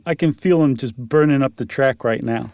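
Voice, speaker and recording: A man's voice: mid-range voice, normal speed, darkish tone, no room sound. A man co-hosting a podcast in casual chat, with a dryly joking way of talking. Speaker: podcast co-host.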